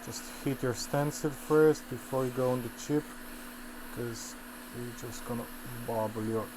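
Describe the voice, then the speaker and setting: Male, medium pitch, casual technician tutorial, halting step-by-step remarks, noticeable background noise. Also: fairly even intonation. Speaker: phone repair technician giving a tutorial. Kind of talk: casual hands-on tutorial narration. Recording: clearly noisy workshop recording.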